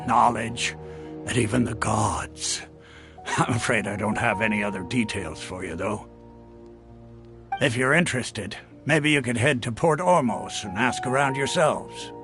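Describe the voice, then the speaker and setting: An actor playing a seasoned, world-weary mercenary chief in a video game, gravelly and matter-of-fact. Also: male voice, mid-range voice. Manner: measured, matter-of-fact briefing.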